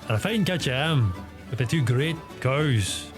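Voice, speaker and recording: An actor playing a stammering, proud Irish small farmer in a radio play. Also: male voice, medium pitch, lively intonation, a little slow, natural voice. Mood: defensive pride. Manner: stammering, repeating words. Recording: music under the voice.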